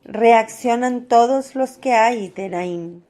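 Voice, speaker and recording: Slow, mellow woman narrator. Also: fairly high pitch. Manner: measured, storytelling. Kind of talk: scripted devotional radio drama narration.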